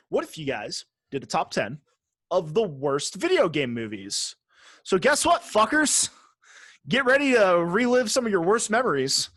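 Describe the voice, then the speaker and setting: Male podcast co-host, slightly higher voice, informal, sweary and teasing, dry sound. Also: fairly bright tone, no room sound. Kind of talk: casual podcast chat.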